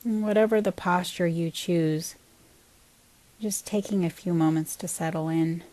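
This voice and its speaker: A woman guiding a body scan meditation as a teacher, in a calm, gentle, unhurried manner with pauses between short phrases. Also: fairly low pitch, no room sound.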